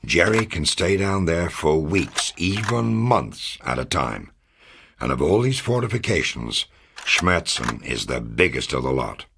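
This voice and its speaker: A man playing a commanding, confident American intelligence officer, delivering a measured, authoritative mission briefing in a video game. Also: rumbling low, big pitch swings, vocal fry, background noise, exaggerated voice. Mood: firm, emphatic. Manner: authoritative, measured, matter-of-fact. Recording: music under the voice. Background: background music.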